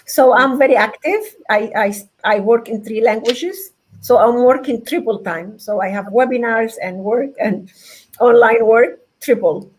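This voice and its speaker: A woman from Venezuela speaking as an interview guest, earnest and grateful, often halting and restarting. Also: a little high, husky, low-quality recording. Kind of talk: interview answers.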